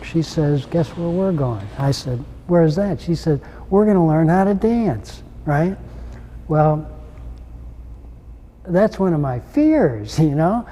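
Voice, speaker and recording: An older man in a casual interview, telling stories in a relaxed, chuckling way. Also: a little high, poor audio. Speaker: interviewee, husband.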